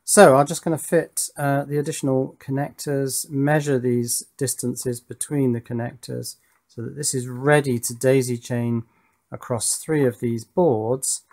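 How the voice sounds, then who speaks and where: A man presents casually from his workbench. His voice sits at a middling pitch. He talks through his work methodically, thinking aloud as he explains each step. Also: flat delivery, a little slow, darkish tone. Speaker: electronics hobbyist presenter. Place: indoors at an electronics workbench.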